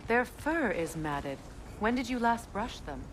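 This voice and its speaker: An actress playing a wise, resolute mature goddess in a video game, speaking in a calm, earnest, measured way. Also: female voice, a little high. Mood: calm, matter-of-fact. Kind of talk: video game drama acting. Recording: clear background noise and ambience.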